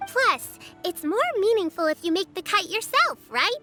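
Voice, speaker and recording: A woman's voice: very high-pitched, lively intonation, normal speed, music in the background. A woman voicing an earnest, caring little girl in a game, eager and sweet.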